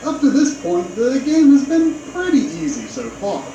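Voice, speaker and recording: A man's voice: very high voice, very animated, normal speed, warm tone, highs cut off, a little hiss or hum. A man narrating a scripted video game review in a conversational, opinionated way, with casual asides. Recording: roomy, played back with game music behind.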